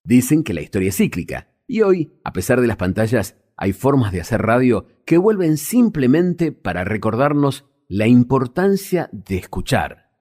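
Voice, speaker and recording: A man's voice: mid-range voice, very animated, normal speed, a little mellow. An Argentine man reading scripted documentary narration as a narrator. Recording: music behind the voice.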